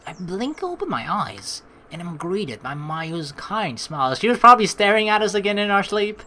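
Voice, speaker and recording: Bright, animated young male let's player. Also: high-pitched, moderate pace, a little hiss or hum. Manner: expressive, informal, playful asides. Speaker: let's play host. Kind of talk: game playthrough, reading narration aloud with casual commentary. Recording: voice over game music.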